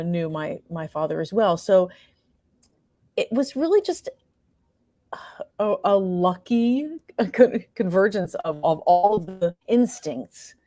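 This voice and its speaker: A woman's voice: lowish voice, dark tone, no echo, studio quality. A woman speaking as an interview guest about creative work, in a casual, effusive and appreciative conversational way. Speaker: playwright and performer, interview guest.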